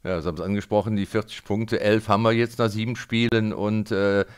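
A man's voice: lowish voice, level tone, brisk, clear, resonant tone. A man working as a sports radio interviewer, putting questions to a guest after a match.

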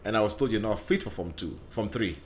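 Deep-voiced man, playful podcast guest. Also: fast, a little hiss or hum. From Ghana. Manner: laughs often, lively storytelling with playful boasts. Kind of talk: casual interview chat. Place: indoor studio lounge set. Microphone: podcast mic on boom arm.

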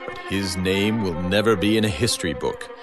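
An actor playing a theatrical horror radio show host in an audio drama, speaking in drawn-out patter. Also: male voice, low voice, music in the background, close to an everyday voice. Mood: sinister. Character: smooth male horror-show host Bob, menacing then pleading.

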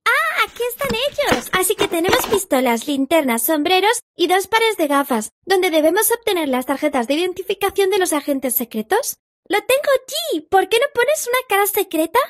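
A woman's voice: very high voice, very animated, normal speed, a little hiss or hum. A woman voicing a cheerful little girl hippo in a children's cartoon, playful and instructive in manner. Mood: eager, playful. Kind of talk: children's interactive cartoon dub. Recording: loud music behind. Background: music.

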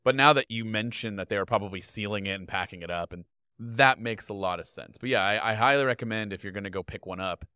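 A man in his thirties, a guest on a video podcast, talking casually and animatedly into a boom microphone in his home studio. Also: fast, no echo. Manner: animated, gestures a lot while talking. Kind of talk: casual chat. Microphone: podcast mic on boom arm.

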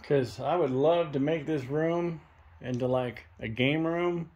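A young man filming a ghost-hunting vlog in a dark abandoned farmhouse, talking to spirits and loudly challenging them. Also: on the high side, slow, husky, lo-fi sound. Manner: loud provoking challenges to the spirits. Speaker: paranormal investigator.

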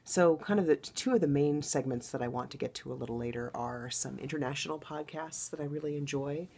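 A middle-aged woman hosting her own podcast, chatting in a rambling way with a low, husky voice from a recent loud wedding, recorded at home. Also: a little fast, slight background noise. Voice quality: low baritone voice. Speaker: podcast host. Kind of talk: casual podcast monologue.

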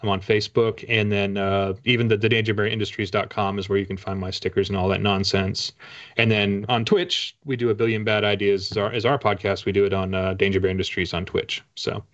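A man's voice: fairly low pitch, level tone, fairly quick, a bit bright. A man in his forties chatting casually as a guest author, rambling and self-deprecating, over a video call. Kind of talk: casual interview chat. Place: home room with bookshelves, video call. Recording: dull, lo-fi call audio.

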